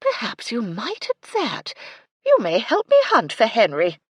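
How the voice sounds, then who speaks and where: Narrator as a prim society woman, audiobook character, haughty, exasperated, formal diction, raised pitch, lively swoops. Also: unhurried, husky, no echo, studio quality, exaggerated voice. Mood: brisk, disdainful. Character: fussy, haughty English society lady, easily exasperated.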